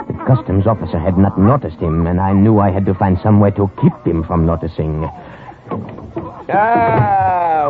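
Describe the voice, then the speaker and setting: A man acting a suave, sly poet spy in a 1950s radio drama, smooth and teasing with hesitant pauses. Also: fairly low pitch. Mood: tense narration. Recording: scratchy, muffled 1950 radio recording.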